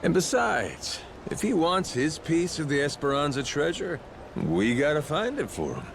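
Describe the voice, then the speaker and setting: An actor playing a cocky, streetwise pirate yakuza captain in a video game, casual, brash and slangy. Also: male voice, on the high side, sing-song, normal speed, a little hiss or hum. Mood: cocky, easygoing. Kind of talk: video game dialogue acting.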